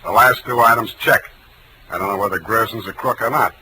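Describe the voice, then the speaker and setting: A man's voice: lowish voice, fairly quick, bright, forceful tone, a little hiss or hum, low-quality recording. An American man playing a wry, hard-boiled private detective in 1940s radio style, with a dry, wisecracking delivery. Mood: probing, skeptical. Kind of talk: 1940s radio acting with first-person narration. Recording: old 1940s radio recording, music behind.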